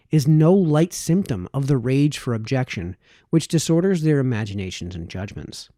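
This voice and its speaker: A man narrates a formal old text with measured, emphatic phrasing. His voice is relaxed and mellow, and his pitch moves up and down in a lively way. The recording is close and dry. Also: no echo. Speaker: reader, narrator. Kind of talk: read-aloud of a historical political text.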